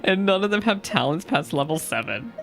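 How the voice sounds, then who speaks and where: A chatty game streamer with a mid-pitched, highly expressive voice, close on the microphone. The delivery is casual and playful, with faint game music behind it. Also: fairly quick, creaky. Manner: playful, chatty, talks back to game characters. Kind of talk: casual gameplay commentary.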